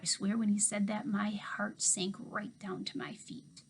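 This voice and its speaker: A woman teacher reading a children's novel aloud to her class, expressive, dropping to a hush for asides. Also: mid-range voice, a little slow, whispered. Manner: expressive storytelling, hushed asides.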